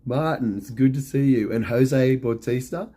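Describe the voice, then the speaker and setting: A middle-aged man with a hoarse, fading voice, chatting casually on a live stream and answering viewers' questions with lots of ums and you-knows. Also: medium pitch, normal speed, mellow. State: hoarse, losing his voice.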